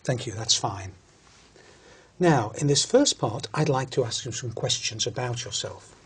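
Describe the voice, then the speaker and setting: A man reading out scripted questions as a language test examiner, formal and measured. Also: mid-range voice, expressive, fairly bright tone, slight background noise.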